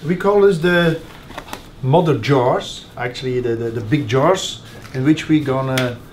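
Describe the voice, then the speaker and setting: Middle-aged guy showing caviar tins, lots of uhs, a bit of hiss behind him. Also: mid-range voice. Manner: halting, many uh fillers and restarts. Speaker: caviar producer guide. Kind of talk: explaining on a guided tasting. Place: small tasting room indoors.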